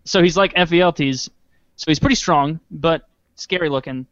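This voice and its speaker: Deadpan podcast dude, slightly high voice, dry dull sound. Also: male voice, moderate pace, no echo. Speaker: podcast co-host reading listener questions. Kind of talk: casual comedic chat.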